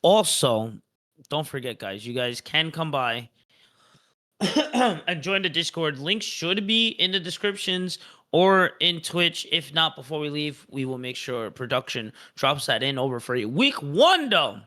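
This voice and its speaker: Guy hosting an esports stream, bright forceful voice, hypes things up and says stuff twice. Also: a little high, lively intonation, measured pace. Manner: upbeat, repeats words for emphasis. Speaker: esports league host. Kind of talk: casual live-stream esports chat.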